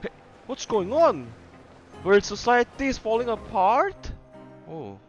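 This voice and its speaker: Animated, hesitant male game streamer. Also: sits high, takes it slowly. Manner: hesitant, word-searching, repeating himself. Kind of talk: casual live gameplay commentary. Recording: slightly dull, lo-fi microphone. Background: game music.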